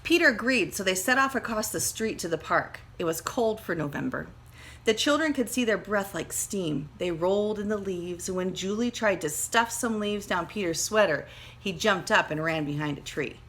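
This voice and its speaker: A woman teacher reading a children's story aloud with dramatic emphasis, pausing to speak directly to her pupils. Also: mid-range voice, animated, a bit bright, slightly rough. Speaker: second grade teacher. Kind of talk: expressive storybook read-aloud with teaching asides.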